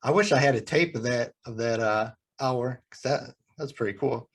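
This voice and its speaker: A man's voice: medium pitch, moderate pace, husky. An American man hosting a casual podcast chat, reminiscing informally with some swearing. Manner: profane, informal. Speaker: podcast host and rapper.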